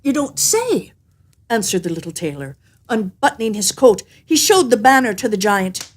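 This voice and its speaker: A woman reading a fairy tale aloud as an expressive, scripted narration. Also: mid-range voice, moderate pace. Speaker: storyteller.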